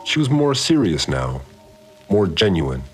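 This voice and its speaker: An actor playing a calm, cryptic male cult priest, narrating his log in a measured, solemn way. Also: deep voice, husky, heavily acted. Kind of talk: scripted audio drama narration. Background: soft music.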